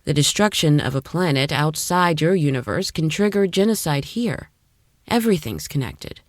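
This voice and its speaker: Deep voiced lady angel warrior, audio drama, measured n knowing, super dry sound. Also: lively intonation, no room sound. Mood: solemn. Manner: measured, formal. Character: stately female warrior angel, patient and knowing.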